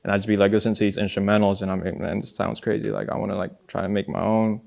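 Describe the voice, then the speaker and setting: A young man from Spain talks through his past in a relaxed, wandering way, with "like" in nearly every phrase. His voice sits low and gravelly and stays fairly flat, while soft music plays behind him. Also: racing. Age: young adult. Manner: loose and rambling, many "like" fillers. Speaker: music producer. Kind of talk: casual interview storytelling.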